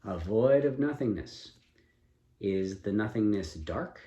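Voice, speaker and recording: A man improvising a story as a storyteller, speaking in a deliberate, inquisitive and encouraging manner. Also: lowish voice, animated, warm tone. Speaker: storyteller and co-host with a child. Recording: echoey room, faint background noise.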